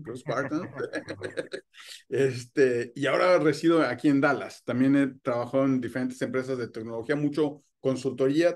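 A man's voice: mid-range voice, darkish tone. A middle-aged man from Mexico City speaks as a guest in a video-call interview, recorded in a home room lined with bookshelves. He talks in a friendly, open way. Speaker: sales engineer, guest.

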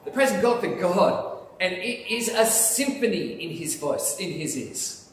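A man preaching a sermon in a church sanctuary, speaking in an animated way with lots of emphasis. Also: high voice, measured pace, slight background noise. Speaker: pastor. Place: church sanctuary, in front of altar. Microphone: lapel mic, filmed by far camera. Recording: echoey church hall from far off.

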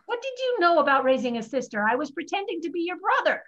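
A woman's voice: very high-pitched, very animated, fast talker, a bit bright, exaggerated voice. A woman playing a defiant fifteen-year-old girl raised as a boy, in an earnest staged play reading. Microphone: video call. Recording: dull video call audio.

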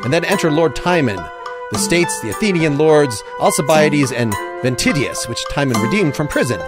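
A man's voice: on the high side, animated, moderate pace, over music. A man hosting a play reading, casually and wryly giving out stage directions.

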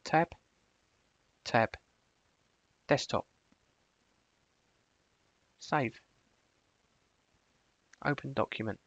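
A man presenting a screencast software tutorial, switching between casual explanation and clipped spoken commands. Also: mid-range voice, very slow, creaky, pauses often, muffled recording.

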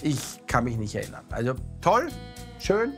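A man's voice: mid-range voice, moderate pace, over music. A German man hosting a restaurant visit, talking casually and asking "ne?" a lot, recorded on a lapel mic. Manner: chatty, lively, frequent "ne?" tags. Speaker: TV restaurant critic host. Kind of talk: casual on-camera chat. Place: restaurant indoors and courtyard.

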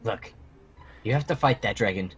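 A man's voice: on the low side, moderate pace, loud music behind the voice, close to an everyday voice. A man playing a stern, dismissive mentor of young dragon fighters in an improvised game roleplay, instructing in clipped commands. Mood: stern, instructive. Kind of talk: improvised Minecraft roleplay acting.

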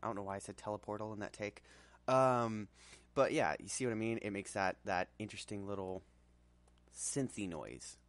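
A man with a fairly low voice presents a casual screen tutorial. The recording is dry and close, and his delivery is informal and somewhat hesitant. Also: normal speed, dry recording.

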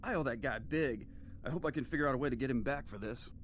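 A man's voice: mid-range voice, fast, close to an everyday voice. An actor playing a rattled, streetwise captive bounty hunter in a video game, with a worked-up, slangy tough-guy manner. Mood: relieved, grateful.

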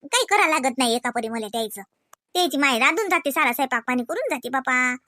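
A woman's voice: high voice, lively intonation, fast, sharp tone, no room sound. A woman voicing a gossipy, rambling village grandmother in a comedy cartoon, speaking colloquial Marathi with a rural Varhadi accent from Vidarbha. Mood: chatty, fond. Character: chatty village grandmother grumbling about family, fond of grandchildren.

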